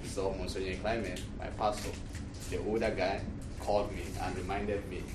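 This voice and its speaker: Cameroonian priest teaching in an echoey, noisy room. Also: male voice, low voice, level tone, normal speed. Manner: repeats phrases for emphasis. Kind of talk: religious class lecture. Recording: distant mic, echoey room, loud noise.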